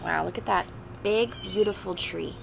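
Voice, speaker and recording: Female storybook reader for young children, expressive and chatty, creaky mid-range voice, clear background noise. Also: medium pitch, moderate pace. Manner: expressive, simple explanations and questions for young children. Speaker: teacher reading a picture book aloud to children. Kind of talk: storybook read-aloud with chatty asides to children.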